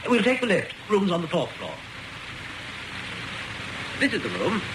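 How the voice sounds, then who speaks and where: An actor playing a discreet, obliging hotel detective in a radio thriller, slightly formal, polite and understated. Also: male voice, high-pitched, wildly swooping pitch, unhurried, exaggerated voice. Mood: matter-of-fact. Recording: noisy radio drama recording.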